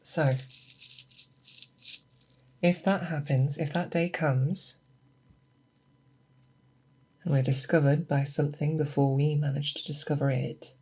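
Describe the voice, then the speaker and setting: A woman playing a seasoned, grave and protective female monster hunter in a slow, hushed, breathy ASMR roleplay, close to the microphone. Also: low-pitched, level tone, exaggerated voice, poor audio. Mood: earnest, protective. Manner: slow, deliberate, hushed, with pauses. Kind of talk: ASMR roleplay, close soft speech and whispers. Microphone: close binaural microphone.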